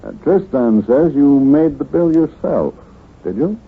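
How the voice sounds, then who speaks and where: An actor playing a pushy, shady crook with husky, slangy tough-guy talk in a 1940s radio drama. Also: a little high, sing-song, measured pace, exaggerated voice. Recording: muffled, phone-like vintage radio.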